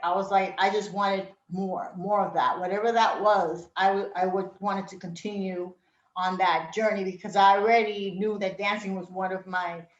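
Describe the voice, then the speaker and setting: A woman's voice: mid-range voice, fairly even intonation, normal speed. A middle-aged woman, raised in Brooklyn and born in Puerto Rico, reminiscing casually as an interview guest, with many fillers and frequent laughs. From Puerto Rico, raised in Brooklyn, New York. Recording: roomy, dull lo-fi sound.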